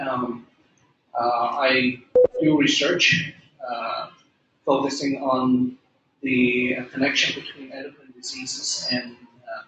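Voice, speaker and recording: Middle-aged man, halting filler-heavy lecture, echoey room, faint background noise. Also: medium pitch, a bit bright. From Hong Kong. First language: Cantonese. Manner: hesitant, many fillers and restarts. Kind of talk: classroom lecture.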